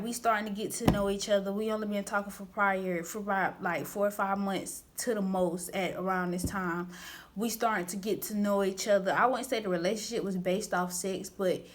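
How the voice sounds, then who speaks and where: A young woman of twenty tells a personal storytime straight to her viewers. She talks candidly and casually, full of slang, and rambles with frequent restarts. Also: medium pitch. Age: 20s. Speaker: vlogger.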